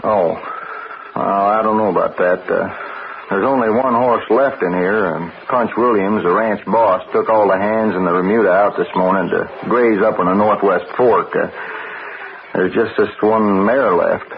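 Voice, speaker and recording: Man acting a gravelly, folksy Western ranch hand. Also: fairly low pitch, moderate pace, natural voice. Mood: hesitant, folksy. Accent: American Western. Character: folksy, probing ranch stable hand, watchful and evasive. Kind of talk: old-time radio drama acting. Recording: noisy, dull old radio broadcast.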